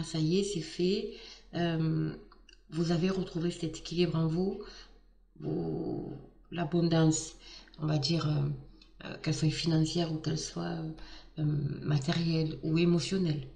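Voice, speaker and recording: A woman with a slightly hoarse voice talks her viewers through a card reading, warm and excitable, stumbling through restarts and fillers. She sits a little away from the mic, and the room rings around her. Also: fairly low pitch, roomy sound, recorded from a distance. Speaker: tarot card reader. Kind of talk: casual astrology reading to camera.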